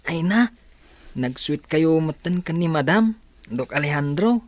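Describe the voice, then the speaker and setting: A man's voice: fairly high pitch, animated, measured pace, dry recording. A man playing a kind, eager young student in an Ilocano radio drama, with an Ilocano accent. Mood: teasing.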